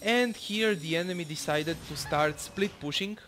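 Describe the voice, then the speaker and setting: Man, Bulgarian accent, fairly high voice, casual game commentary, rambling, fillers, faint background noise. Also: normal speed, fairly bright tone. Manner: rambling, many fillers, swears freely. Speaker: gamer commentating his own match.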